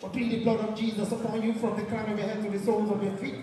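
A Nigerian woman works a live event as master of ceremonies, commanding and theatrical in her delivery. She sounds distant over a very echoey PA, with a clearly audible crowd around her. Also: mid-range voice, little pitch movement, rapid-fire. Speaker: master of ceremonies at a traditional wedding. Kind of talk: live event hosting with prayers and chants. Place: large indoor event hall. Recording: echoey PA in crowded hall, music behind.

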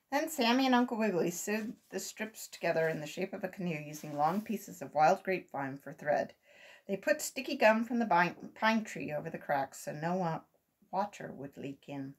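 Husky older woman reading a storybook. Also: fairly low pitch, expressive, moderate pace. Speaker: volunteer children's story reader. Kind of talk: expressive storybook read-aloud. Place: small room at home.

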